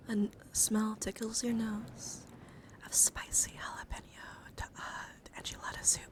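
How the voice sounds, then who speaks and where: A woman livestreamer giving a guided ASMR relaxation in a gentle, soothing, encouraging manner. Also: a little high, flat delivery, measured pace. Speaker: livestream host.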